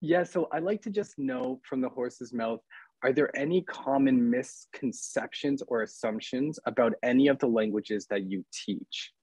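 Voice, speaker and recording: A man's voice: mid-range voice, normal speed, a little mellow, slightly rough, no room sound. A man in his thirties, an English teacher hosting an interview on a video call, asking polite questions with many "um"s and "uh"s. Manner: filler-heavy, polite questions. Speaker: podcast host, English teacher. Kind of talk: interview host.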